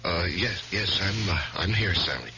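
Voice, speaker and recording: An actor playing an anguished lawyer in his forties, halting and uneasy, in a 1970s radio mystery. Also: deep voice, animated, pushed and bright, slight background noise, exaggerated voice. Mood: evasive, nervous. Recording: dull lo-fi 1970s radio recording.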